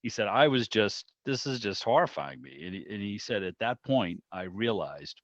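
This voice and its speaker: Low voiced middle aged guy rambling casually on a video call, bit muffled and lo-fi. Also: lively intonation, moderate pace, a bit bright, no room sound. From Boston area, United States. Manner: chatty, peppered with "you know". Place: online video meeting. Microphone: video call microphone. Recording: dull video call audio.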